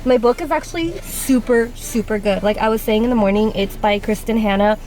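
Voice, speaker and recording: Chatty Spanish-accented woman, slightly high voice. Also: a little fast, darkish tone, poor audio. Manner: chatty, rambling, with many "like" fillers. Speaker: vlogger. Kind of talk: casual chat. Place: car and gym. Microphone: handheld camera.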